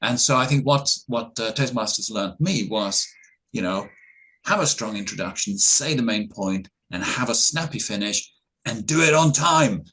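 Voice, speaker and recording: A man's voice: medium pitch, expressive, normal speed, sharp tone, low-quality recording. Hesitant man, chatty. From Norway. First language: Norwegian. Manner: hesitant, many ums and uhs, chuckles, self-deprecating. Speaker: interview guest. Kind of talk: relaxed conversational answers.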